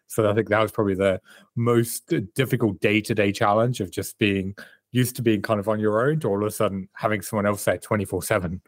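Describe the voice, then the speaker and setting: A man's voice: on the low side, fairly even intonation, a little fast, dry recording. A man in his thirties from South Africa, a performance coach and author answering interview questions in a candid, reflective way over a remote call.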